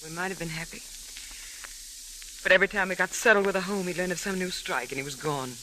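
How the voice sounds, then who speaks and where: Brittle, bright-voiced young wife. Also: female voice, fairly low pitch, fast talker. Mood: wistful. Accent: American. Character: bitter, wounded young American wife. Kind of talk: 1940s radio melodrama acting. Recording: faintly noisy old radio recording.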